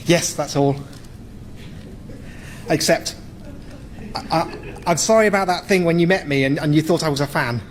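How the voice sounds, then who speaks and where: A man plays a naive young steward in a radio comedy. His voice sits fairly high, cheerful and eagerly polite, with a guileless dimness and faint noise behind it. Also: normal speed, long pauses, lightly acted. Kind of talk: radio sitcom acting.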